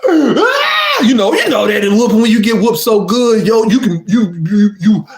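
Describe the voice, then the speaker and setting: Animated man telling a story. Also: high voice, measured pace, slight background noise. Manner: animated, acts out quotes, asks "you see what I mean?". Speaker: storytime vlogger. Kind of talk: casual storytelling to viewers.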